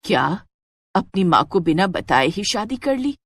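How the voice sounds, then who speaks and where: A woman's voice: medium pitch, sing-song, measured pace, no echo, clean studio sound. A woman voicing a poor, ailing old mother in a moral story cartoon, speaking in a plaintive manner. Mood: shocked, hurt. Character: sick, abandoned, pleading old mother.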